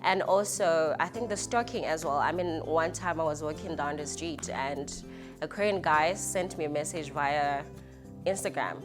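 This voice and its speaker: A woman's voice: deep voice, moderate pace. A South African woman with a South African accent, speaking candidly as an interviewee. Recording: music behind the voice.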